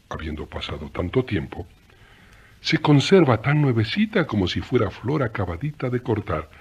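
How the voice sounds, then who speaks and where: A man's voice: deep voice, breathy edge, lo-fi sound. A man narrating a radio drama as a storyteller, folksy and chatty, speaking directly to listeners.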